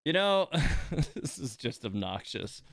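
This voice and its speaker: A man's voice: medium pitch, sing-song, takes it slowly, gravelly, fairly bright tone, no echo. A man chatting casually on a gaming livestream in a grumbling, exasperated, self-deprecating way. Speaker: live streamer and host of a gaming channel. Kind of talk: casual livestream chat while playing a gacha game. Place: indoors at home computer.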